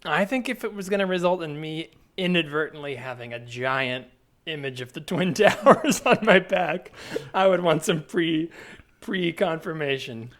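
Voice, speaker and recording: A man's voice: fairly high pitch, lively intonation, moderate pace, fairly bright tone. A man doing improvised conversational comedy as a comedian.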